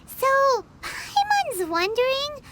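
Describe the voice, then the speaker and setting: A woman's voice: very high-pitched, very animated, takes it slowly, heavy background noise, full character voice. A woman voicing a small, childlike, nosy fairy companion in a video game, chirpy in manner. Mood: curious, doubtful. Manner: speaks of herself in third person. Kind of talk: video game character acting. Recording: music behind the voice.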